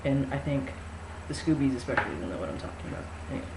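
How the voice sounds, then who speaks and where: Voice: mid-range voice, big pitch swings, rapid-fire. A narrator giving a mock-dramatic amateur reading of a novel, with casual introductory talk. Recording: echoey room with faint noise.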